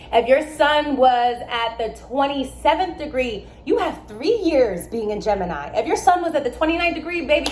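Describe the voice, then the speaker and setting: Animated woman explaining astrology, echoey room. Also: high-pitched, a little hiss or hum. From born in Germany, raised in America. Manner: animated, emphatic, with asides and laughs. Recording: echoey room with faint ambience.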